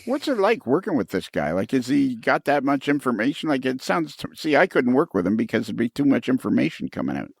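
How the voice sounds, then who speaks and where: A man's voice: mid-range voice, sing-song, brisk, creaky, few pauses, husky, no echo, studio quality. A man hosting a casual interview, bantering in a blunt, teasing way. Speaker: podcast interviewer.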